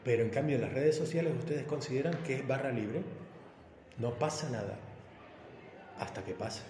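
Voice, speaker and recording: A man's voice: medium pitch, moderate pace. A man in his fifties speaks emphatically, firing leading questions at a young guest as he lays out his point. His voice carries the long, echoey reverb of a big hall, with a faint hiss of room noise underneath. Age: 50s. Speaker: police officer giving school talks.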